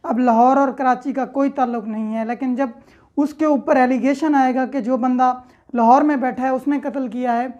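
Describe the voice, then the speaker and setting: A Pakistani-accented woman speaks in a clearly high voice. She teaches law in an explanatory lecture style, alternating formal reading with plain, example-led explanation. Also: a little mellow, lo-fi sound. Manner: reads clauses aloud, then explains with simple examples.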